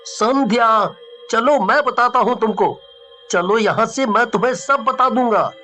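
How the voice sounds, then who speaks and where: A woman's voice: a little high, a little slow, pushed and bright, soft background music. A woman playing a brave, determined schoolboy in a horror story, speaking in an earnest, emphatic way.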